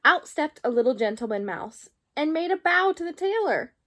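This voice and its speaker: High-voiced woman reading a storybook. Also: big pitch swings, normal speed, a bit bright. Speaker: storyteller reading children's books aloud. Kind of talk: expressive storybook narration.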